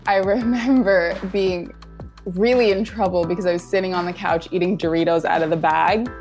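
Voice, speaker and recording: A woman with a slightly low voice chats as a podcast guest. She speaks loosely with plenty of fillers. Faint music sits behind her. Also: normal speed. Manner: relaxed, filler-heavy. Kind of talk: casual conversation.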